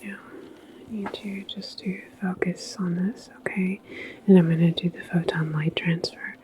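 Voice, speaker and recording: Woman playing a slow whispering sci-fi station attendant. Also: on the low side, fairly even intonation, natural voice, rough recording. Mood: calm, reassuring. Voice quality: soft, breathy whisper. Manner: unhurried, halting, reassuring, gently chatty. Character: calm, reassuring female wormhole transit station attendant. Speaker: ASMR roleplayer. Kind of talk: whispered sci-fi ASMR roleplay.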